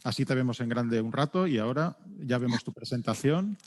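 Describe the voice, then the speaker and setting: Courteous man moderating, mid-pitched voice. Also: expressive, fairly quick, darkish tone. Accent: Spain. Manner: courteous, checking technical details. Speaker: event moderator introducing presenters. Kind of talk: informal live hosting of online event. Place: video call.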